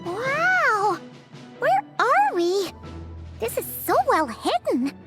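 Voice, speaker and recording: Squeaky, very high childlike sprite. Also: female voice, very slow, exaggerated voice. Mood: amazed, chatty. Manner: excitable, exclamatory. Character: tiny childlike floating companion, excitable and blunt. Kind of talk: English video game voice acting. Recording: music behind the voice.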